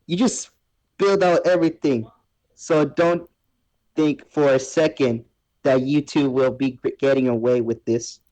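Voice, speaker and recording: A man's voice: medium pitch, fairly even intonation, unhurried, darkish tone, close to an everyday voice, poor audio. A man voicing an indignant, scolding female cartoon coyote in an amateur fan fiction reading.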